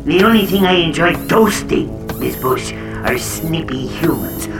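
A woman's voice: low-pitched, animated, a little slow, recorded from a distance, music in the background. A woman playing a servile, snide male assistant in an audio drama, with precise, sinister politeness.

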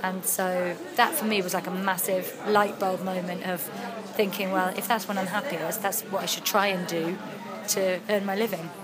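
A woman's voice: medium pitch, moderate pace, crowd noise. A young Welsh woman, an actress and writer, chatting freely in an interview with lots of ums. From Wales. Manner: chatty, animated storytelling with frequent ums. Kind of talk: casual interview chat.

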